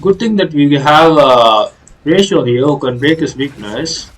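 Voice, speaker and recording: A man's voice: a little high, expressive, measured pace, breathy edge. A man giving casual, explanatory commentary on a game playthrough, hesitating often with filler sounds. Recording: distant mic over game music.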